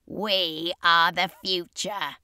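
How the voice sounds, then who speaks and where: A woman's voice: lowish voice, fairly even intonation, very slow, sharp tone, clear, resonant tone, dry recording, studio quality, heavily acted. A woman reading a storybook, voicing a defiant male alpha vampire.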